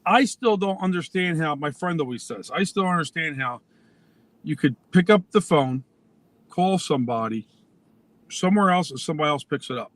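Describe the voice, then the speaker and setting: A man's voice: fairly high pitch, lively intonation, normal speed, fairly bright tone, no room sound. A man in a casual panel chat, rambling and trailing off mid-thought.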